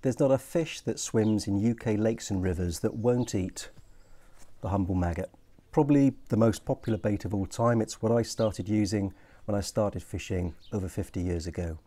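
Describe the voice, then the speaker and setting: Man in his 60s, low, warm tone; friendly, practical how-to delivery; outdoor lapel-mic sound. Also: normal speed. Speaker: angling presenter. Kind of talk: casual how-to explanation. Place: outdoor garden patio.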